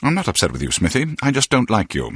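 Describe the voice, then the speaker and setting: An actor playing a seasoned, wry older spy and mentor in a video game, with dry, needling humor. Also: male voice, lowish voice, wildly swooping pitch, fast, a bit bright, breathy edge, dry recording, full character voice. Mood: wry, teasing.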